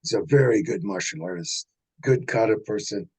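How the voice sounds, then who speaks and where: An older man, a senior martial arts teacher, chatting in a plain-spoken, measured way. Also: lowish voice, very animated, vocal fry, darkish tone, husky. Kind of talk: casual chat.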